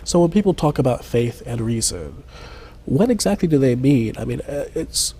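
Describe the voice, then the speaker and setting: Mellow-voiced TV host man. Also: medium pitch, expressive, normal speed, breathy edge. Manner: formal, polished introductions. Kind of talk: scripted TV interview hosting.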